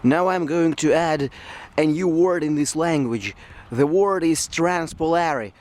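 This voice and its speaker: A man speaking English as a second language, explaining a science topic slowly with frequent pauses and fillers. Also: a little high, darkish tone. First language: not English. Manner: slow, hesitant, with frequent fillers. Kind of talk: unscripted educational explanation.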